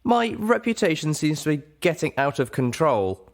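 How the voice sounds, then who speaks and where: A man's voice: on the high side, very animated, normal speed, pitched above the performer's own voice. A man voicing an earnest young female pony heroine who is agitated and full of self-doubt in an audiobook story. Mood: grateful, earnest.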